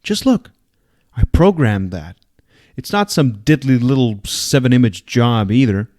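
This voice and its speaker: A man voicing a brash, volatile young woman hacker in a story reading, with excitable, slangy, emphatic talk. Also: medium pitch, measured pace, lightly acted. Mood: excited, insistent.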